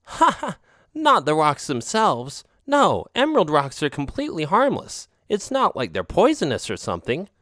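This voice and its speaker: A man doing a worldly storybook tomcat, lifted in pitch, who states his warnings plainly with a lively lilt. Dry, close sound. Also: moderate pace, no echo. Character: knowing male alley cat, a warning friend.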